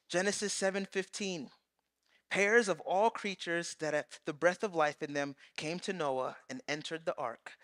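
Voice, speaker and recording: Pastor guy in his 30s preaching, high bright voice, animated, dry close sound. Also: dry recording. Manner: animated, acts out quoted voices, swings loud and soft. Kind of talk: sermon. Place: large church stage.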